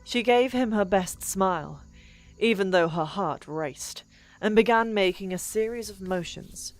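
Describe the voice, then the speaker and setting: A woman narrating a fantasy audiobook in an expressive, deliberate storytelling manner. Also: mid-range voice, rough recording. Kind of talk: dramatic scripted fiction narration. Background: background music.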